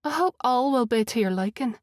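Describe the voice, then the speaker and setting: A motherly middle-aged housekeeper with a Scottish lilt, chatty and doting, bustling about her guest, as a woman reading an audiobook puts her on. The voice sits high and lifts up brightly. The sound is close and dry. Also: expressive, a little fast, dry recording, natural voice. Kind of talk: audiobook character voice.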